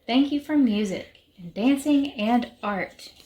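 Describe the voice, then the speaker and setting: A woman reading a rhyming children's picture book aloud in short, measured phrases with pauses. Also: fairly high pitch, dark tone. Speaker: reader of a children's story. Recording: background noise with clicks.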